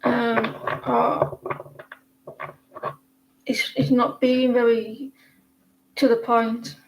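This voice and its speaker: Woman, 40s, northeast English accent, casual tarot reading, rambling chatty delivery, slightly high voice. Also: level tone, extremely slow, gravelly, slight background noise, rough recording. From northeast England. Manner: rambling, chatty, many fillers and restarts. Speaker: tarot reader.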